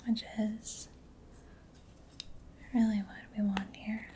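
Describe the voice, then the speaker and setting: A soft-spoken woman in a breathy whisper, gently and reassuringly playing a caring mechanic in an ASMR roleplay. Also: mid-range voice, little pitch movement, slow, a little hiss or hum. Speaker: ASMR performer.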